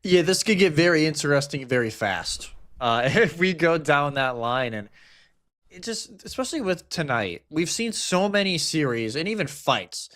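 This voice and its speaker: A man's voice: fairly high pitch, sing-song, moderate pace, fairly bright tone. A man doing live esports commentary in a casual, colloquial way, sometimes voicing imagined reactions as he explains.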